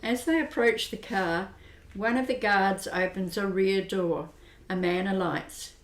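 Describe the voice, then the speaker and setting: A woman narrating an amateur radio play in a plain, measured storytelling manner. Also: mid-range voice, slight background noise. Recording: roomy echo.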